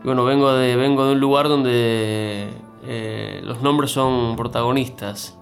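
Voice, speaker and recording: Cuban guy w a half argentine accent, lots of ehs and restarts, mid pitch, faint music. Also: steady tone, measured pace, gravelly. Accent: Cuban with Argentine mix. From Cuba. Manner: hesitant, many fillers and restarts. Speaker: interview guest. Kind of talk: conversational interview.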